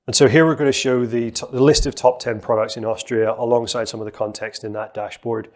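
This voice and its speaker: Man presenting a software demo at a lectern. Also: mid-range voice, fairly quick. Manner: explanatory, steady, with frequent fillers. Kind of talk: conference talk with live demo. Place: conference stage at a lectern.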